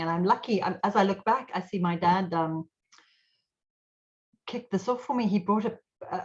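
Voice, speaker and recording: A middle-aged woman, an IT consultant and guest speaker, telling her story in an animated way over a video call. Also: on the low side. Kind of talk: casual webinar talk. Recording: slightly dull lo-fi audio.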